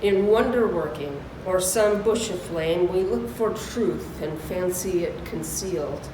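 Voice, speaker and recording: A woman's voice: lowish voice, measured pace. Echoey, distant woman minister leading worship. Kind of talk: church welcome and solemn reading. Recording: echoey room, distant mic, clear noise.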